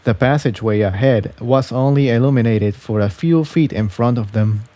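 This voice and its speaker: A man narrating a scripted adventure story as a reader of fan fiction, with a somewhat halting delivery that stumbles over words. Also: mid-range voice, dark tone.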